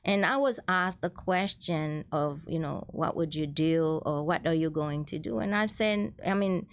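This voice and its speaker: A woman's voice: low-pitched, measured pace, slight background noise. A middle-aged woman from Haiti, a guest in a casual interview, talking earnestly with many restarts. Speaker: guest, transit ambassador.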